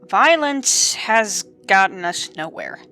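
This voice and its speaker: A woman voicing a stubborn, prideful male dark deity in an audio drama, gruff and snappish. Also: medium pitch, big pitch swings, slow, soft background music, full character voice. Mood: reluctant. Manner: snappish, grumbling, brutish.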